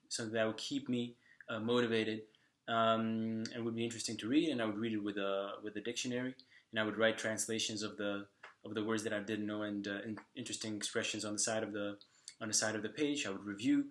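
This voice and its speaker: A man in his twenties, a native Slovak speaker, answering viewer questions to camera in a halting way, with many fillers and restarts. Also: fairly low pitch, fairly bright tone. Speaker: vlogger. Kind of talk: casual talk to camera.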